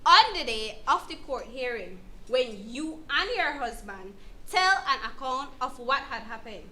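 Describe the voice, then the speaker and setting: A woman playing a knowing guide in an instructive dramatization, explaining emphatically and deliberately. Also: sits high, pushed and bright, a little hiss or hum, exaggerated voice, poor audio. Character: firm, knowing woman guide to legal rights.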